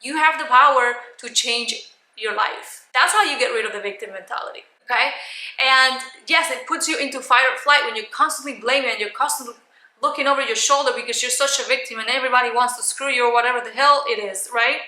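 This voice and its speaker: A woman giving a motivational coaching talk to camera in a loud, emphatic, blunt manner. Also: fairly high pitch, fairly quick, echoey room, low-quality recording. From Cuban. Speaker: life coach, video host.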